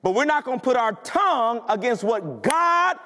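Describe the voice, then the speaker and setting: A man's voice: extremely high pitch, very animated, normal speed, pushed and bright. A male pastor delivering a sermon in a church, talking in an emphatic, conversational way and asking the congregation questions. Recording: long hall reverb.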